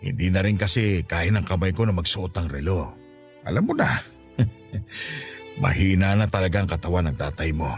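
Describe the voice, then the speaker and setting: Casting: loving, frail family father for radio drama; teasing yet sentimental, with lively swooping intonation. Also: sits low, moderate pace, pauses often. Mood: tender, sentimental. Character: affectionate, playful, ailing father of a grown daughter. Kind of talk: Tagalog radio drama acting. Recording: music behind the voice.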